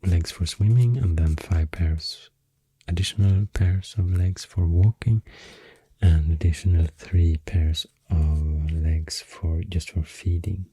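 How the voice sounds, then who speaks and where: Soft whispery swedish guy doing asmr, really dark low voice, rambles along slowly up close. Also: steady tone, dry recording. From Sweden. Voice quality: soft, breathy, often whispery. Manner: unhurried, hesitant, explanatory. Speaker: ASMR creator. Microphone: close microphone. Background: pencil writing and clicking sounds.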